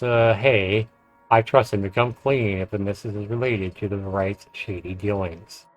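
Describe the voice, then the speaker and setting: A man streaming a visual novel, reading its narration aloud in a measured storytelling way with casual commentary. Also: fairly low pitch, poor audio. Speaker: game streamer. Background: game music.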